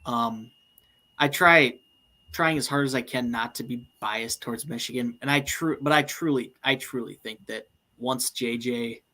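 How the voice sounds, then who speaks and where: A man's voice: mid-range voice, normal speed, pushed and bright. A man talking in casual podcast chat, eager and opinionated. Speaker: podcast co-host.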